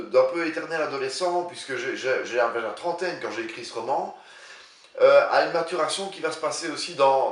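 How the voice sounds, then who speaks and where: A man in his sixties talks about his own writing, pausing and repeating words while he searches for the next phrase. His voice sits at a middling pitch. The room rings around him and he sounds a little back from the microphone. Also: recorded from a distance. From Belgium. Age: 60s. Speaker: author. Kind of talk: interview answers. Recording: roomy sound, voice a bit off-mic.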